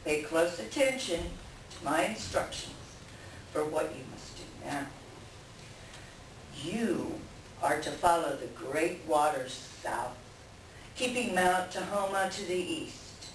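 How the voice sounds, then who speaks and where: A woman playing a grave, commanding leader of the animal people in a readers theater folktale, speaking deliberately. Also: deep voice, lively intonation. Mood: instructing. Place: library room at a live reading. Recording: echoey room, distant mic, faint noise.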